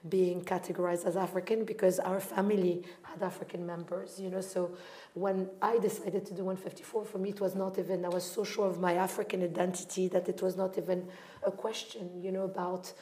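A woman from Morocco talks through her thoughts in a panel discussion. Her voice sits low and stays very even, with a soft, dark tone. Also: brisk. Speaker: art fair founder on a panel.

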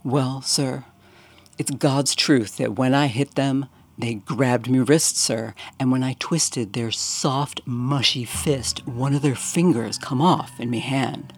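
A man's voice: mid-range voice, a little slow, gravelly, breathy edge. Man putting on a nervous Cockney bellhop, deferential and earnest. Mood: uneasy. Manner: deferential, says sir constantly, dropped g's.

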